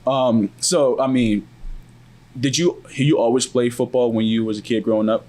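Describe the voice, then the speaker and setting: A young man from West Africa hosting an interview, talking in a relaxed, loose way. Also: medium pitch, moderate pace. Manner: relaxed, laid-back, loose phrasing. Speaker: podcast host. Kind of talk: casual interview chat.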